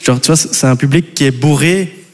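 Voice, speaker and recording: A man from Belgium with a Belgian French accent doing a live stand-up routine. He rambles casually and keeps turning to the audience with quick asides. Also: on the high side. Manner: rambling and chatty, full of "tu vois" and "les gars" asides to the audience. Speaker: stand-up comedian. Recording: long hall reverb from a live stage.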